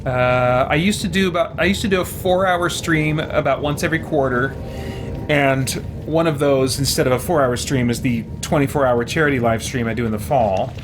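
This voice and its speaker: A man's voice: mid-range voice, normal speed, over music. A man casually chatting on a live stream as he plays a role-playing game, in a hesitant, rambling way. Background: video game audio.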